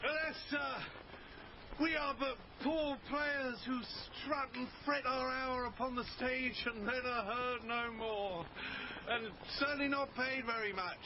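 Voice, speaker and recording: A grandiloquent middle-aged actor-showman declaims in a high, florid voice. He is pompous and theatrical, in a radio play with a dull recording and clear background ambience. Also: fairly even intonation, a little slow, background noise. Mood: theatrical, pleading. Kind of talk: radio drama acting.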